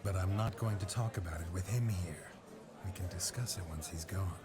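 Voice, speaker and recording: An actor playing a gruff, weary male monster hunter in a game cutscene, speaking in a terse, dry way. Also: low voice, moderate pace, heavy background noise, natural voice. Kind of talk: video game cutscene acting.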